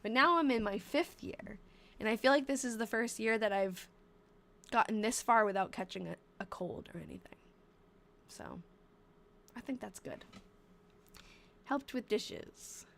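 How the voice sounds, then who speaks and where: A woman's voice: a little high, measured pace, vocal fry, long pauses, a bit bright. A young woman in her twenties talks loosely on a live stream, her nose stuffed up and her voice wearing thin. She reads terse old diary lines aloud, then drifts into chatty asides and often breaks off mid-sentence. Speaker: livestream host reading old diaries. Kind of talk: casual live-stream chat while reading diary entries aloud.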